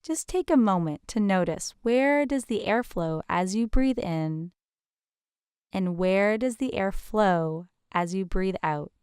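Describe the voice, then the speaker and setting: A woman leads a guided meditation in a gentle, soothing, invitational manner with deliberate pauses. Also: mid-range voice, clear, resonant tone, dry recording, clean studio sound.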